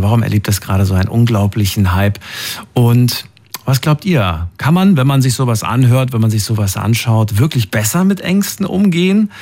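A man's voice: mid-range voice, darkish tone. A man hosting a radio call-in show from the studio, chatty and opinionated as he talks with callers. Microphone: studio microphone.

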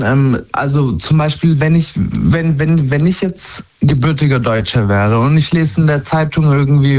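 A man's voice: mid-range voice, darkish tone. A man in his twenties, born and raised in Germany, talking candidly as a guest and hesitating often with fillers and restarts. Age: 20s. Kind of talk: candid personal conversation. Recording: dull lo-fi sound.

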